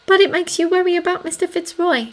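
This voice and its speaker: A woman narrator playing a young housemaid in an audiobook, gentle and tentative, full of concern. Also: very high voice, very animated, normal speed, voice pushed up, heavily acted. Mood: gentle worry. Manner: tentative, soft-hearted, cautioning.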